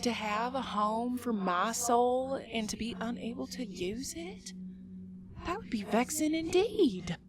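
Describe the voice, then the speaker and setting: A woman voicing a sly, soft-spoken female spider from another world, gentle and wheedling. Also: fairly high pitch, animated, measured pace, a crowd in the background. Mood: playful. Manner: gentle, wheedling, playful then hushed. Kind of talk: horror story voice acting.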